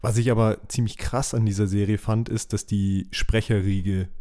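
A man's voice: fairly low pitch, steady tone, normal speed, mellow. A man chatting casually as a podcast host, explaining things like an enthusiastic fan in informal slang. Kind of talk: casual chat about childhood audio dramas.

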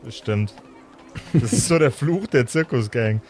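Animated man as game master. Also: medium pitch, a little slow, soft background music. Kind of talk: improvised tabletop game narration.

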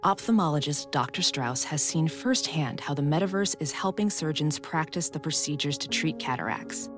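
Woman, deep pitch, scripted ad narration, music underneath. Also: lively intonation, moderate pace. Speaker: advertisement narrator.

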